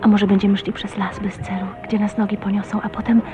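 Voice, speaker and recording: An actress playing a shy, dreamy woman of twenty-nine in love, tender and wistful, often hushed, in a radio drama. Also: mid-range voice, little pitch movement, fast talker, lightly acted. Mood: dreamy, content. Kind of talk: Polish radio drama acting with inner monologue. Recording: radio play with music behind. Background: music.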